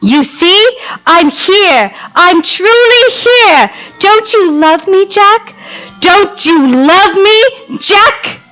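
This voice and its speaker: Woman as young vampire bride, very high bright voice, eerie, coaxing, drawn-out, stage radio drama. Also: lively intonation, faint music behind, heavily acted, low-quality recording. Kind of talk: stage radio play acting.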